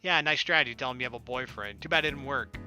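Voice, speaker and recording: A man's voice: medium pitch, racing, sharp tone. A man hosting a gaming video, reading a visual novel aloud and acting out the dialogue informally. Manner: informal, performs lines as he reads. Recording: game music playing behind the voice.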